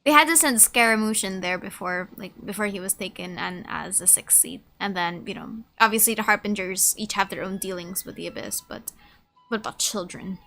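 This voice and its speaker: A woman's voice: mid-range voice, a little fast, bright, forceful tone, soft background music. A woman streaming a game, chatting casually, musing and reacting aloud as she plays.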